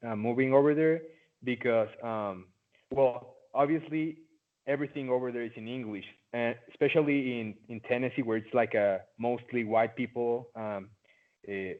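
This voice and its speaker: A young man in his late teens from Mexico with a Mexican Spanish accent, a native Spanish speaker, answering interview questions in a loose, chatty way full of "like" fillers, heard over a video call. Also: medium pitch. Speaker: interviewee, former student. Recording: tinny phone-like line.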